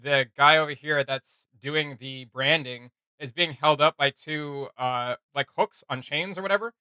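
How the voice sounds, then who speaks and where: Bright, rambling guy chatting dry. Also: a little high, unhurried, smooth, dry recording. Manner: rambling, filler-heavy, laughs often. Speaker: reaction video co-host. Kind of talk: casual reaction chat.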